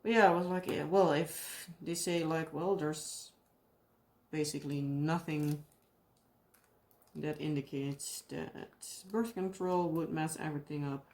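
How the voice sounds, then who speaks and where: A woman's voice: low-pitched, steady tone, a little slow, a little mellow. A young woman with a Dutch accent chatting casually in a rambling way, often searching for English words and laughing. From Netherlands. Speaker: craft vlogger. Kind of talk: casual chat while crafting.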